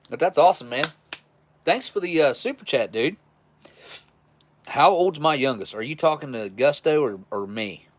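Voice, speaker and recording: A man's voice: a little high, expressive, moderate pace, long pauses. A man in his forties hosting a live stream, chatting casually with viewers in a rambling, chuckling, easygoing way. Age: 40s. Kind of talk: casual live chat reading viewer messages.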